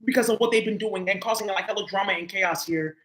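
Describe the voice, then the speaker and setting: A woman's voice: medium pitch, fast, fairly bright tone. A woman doing a casual tarot reading for her viewers, talking loosely and profanely with lots of filler words. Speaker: tarot reader. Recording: echoey room.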